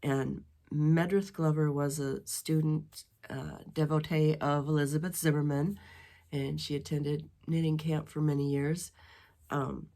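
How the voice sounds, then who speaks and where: A middle-aged woman with a notably low voice chats from her dining room. Her head is stuffy from a spring cold, and she rambles along with plenty of ums and restarts. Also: fairly even intonation, unhurried, mellow. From western Wisconsin. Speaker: knitting podcast host. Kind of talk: casual chat.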